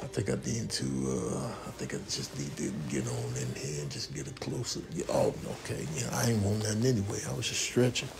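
An American man voicing a cocky, nosy cat after food, smooth-talking in slangy mutters for a comic video. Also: fairly low pitch, expressive, brisk, hardly any pauses, voice pushed down. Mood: sly, muttering.

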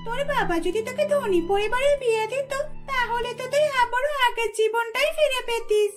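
Woman as a very high-pitched, coaxing young friend. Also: normal speed. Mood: pitying. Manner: coaxing, insistent. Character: meddling young female friend, slyly persuasive. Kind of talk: cartoon dubbing.

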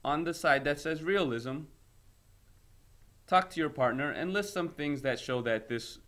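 A man reading a story aloud as a teacher, in an expressive lesson style. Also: on the high side, a little slow.

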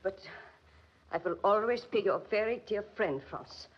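An actress playing a frightened, pleading woman, melodramatic and anguished, with a breathy, husky voice in 1940s radio style. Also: mid-range voice, measured pace. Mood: uneasy. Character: frightened, pleading woman menaced by mad suitor. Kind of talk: 1940s radio drama acting. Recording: muffled 1940s radio recording.

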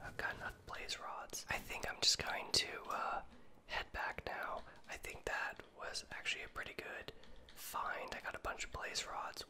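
A man whispering gameplay commentary in an ASMR style, talking himself through tense moments and repeating words when he panics. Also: pushed and bright. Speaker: gaming video creator.

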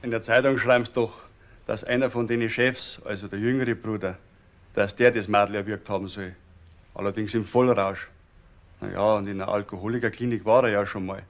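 An actor with a Bavarian accent playing a chatty, self-assured musician giving testimony in a folksy way in a crime radio drama. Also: male voice, lowish voice, fairly even intonation, moderate pace, close to an everyday voice. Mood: chatty, knowing. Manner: folksy, colloquial. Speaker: witness, musician.

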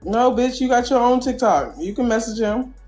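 A woman's voice: on the high side, normal speed, mellow, soft background music. A young woman on a live stream panel, blunt and sassy, swearing freely. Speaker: panel guest. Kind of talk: casual panel chat.

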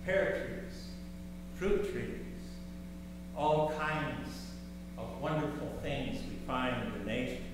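An older man preaching a sermon from a pulpit, slow and measured, with rising emphasis on key words. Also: a little high, distant mic. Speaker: pastor. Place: large church sanctuary, at a pulpit. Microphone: far camera in the sanctuary. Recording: echoey church hall from afar, background hum.

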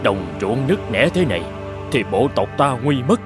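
A worried, solemn tribesman, acted by a man in a cartoon legend. He has a mid-pitched voice with very animated, emphatic delivery, and music plays behind him. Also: moderate pace, close to an everyday voice. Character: grave, worried tribesman in a village council. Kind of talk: Vietnamese cartoon fairy-tale dubbing.

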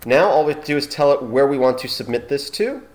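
Man giving an informal, halting tutorial. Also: mid-range voice, lively intonation, darkish tone. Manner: halting, thinking aloud while demonstrating. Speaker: tutorial host.

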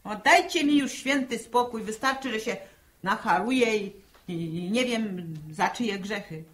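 An actress playing a sharp-tongued middle-aged village mother who nags in folksy rural phrases, in 1960s Polish radio drama style. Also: fairly high pitch, unhurried, natural voice. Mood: grumbling. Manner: nagging, exasperated, folksy rural turns of phrase.

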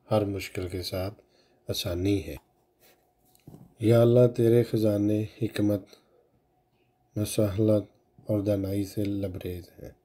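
Man, devotional prayer, solemn, measured, very dark timbre, unhurried. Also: on the low side. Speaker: prayer reciter. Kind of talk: slow recited supplication.

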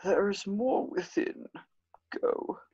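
A man voicing an ancient, sinister alien master in a raspy, creaky whisper, in a fan table read. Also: high voice, animated, slow pace, a little mellow, heavily acted. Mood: commanding, cold. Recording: dull, lo-fi video call.

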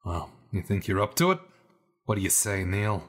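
An actor playing a genial Prohibition fixer making a persuasive pitch in an audio drama. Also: male voice, very animated, moderate pace. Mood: amused, persuasive. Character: genial, wheeling-dealing male Prohibition fixer recruiting a bootlegger.

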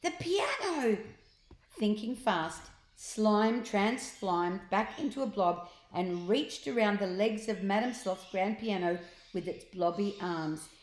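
Slow-paced older woman storyteller in roomy space. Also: mid-range voice, darkish tone. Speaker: storyteller reading children's books. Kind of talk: read-aloud storytelling with asides to child listeners. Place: large open living room, indoors. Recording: roomy, echoing living room.

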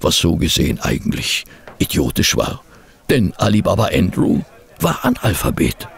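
An actor playing a sceptical gentleman sidekick and storyteller in a German radio play, dry, grumbling and ironic. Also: sits low, wildly swooping pitch, normal speed, creaky, husky, full character voice. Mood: wry storytelling.

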